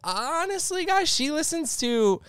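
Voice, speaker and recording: A man's voice: very high-pitched, big pitch swings, a little slow, a bit bright, dry recording, clean studio sound. A man in his thirties, a podcast guest, chatting casually in a rambling, laid-back way.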